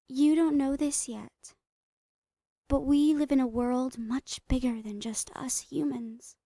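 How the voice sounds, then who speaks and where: A woman playing a smiling psychotic doctor in a horror ASMR roleplay, breathy and often whispering, soothing and falsely reassuring with drawn-out words. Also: on the high side, no echo, clean studio sound, full character voice. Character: smiling psychotic woman doctor, sweetly menacing mad scientist. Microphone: close microphone, dry.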